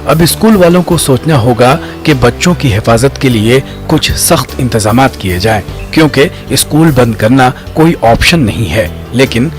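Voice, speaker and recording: A man's voice: mid-range voice, lively intonation, measured pace, few pauses. Man narrating news over music. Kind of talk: scripted news narration in formal Urdu-leaning register.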